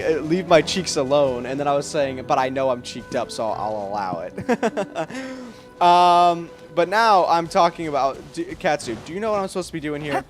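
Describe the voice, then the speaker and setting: A man chatting casually on a gaming livestream in a playful, self-deprecating way. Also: on the high side. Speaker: game livestreamer. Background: game music.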